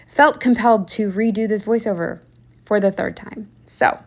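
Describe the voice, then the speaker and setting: A woman's voice: medium pitch, moderate pace. A young woman giving a chatty, rambling voiceover late at night, tired, with self-deprecating asides. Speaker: planner vlogger. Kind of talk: casual voiceover narration.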